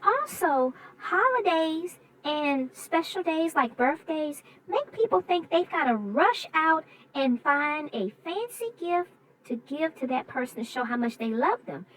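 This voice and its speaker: Woman co-host, very high bright voice, reflective chat, slight background hiss. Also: low-quality recording. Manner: reflective, explaining. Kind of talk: casual chat about the story.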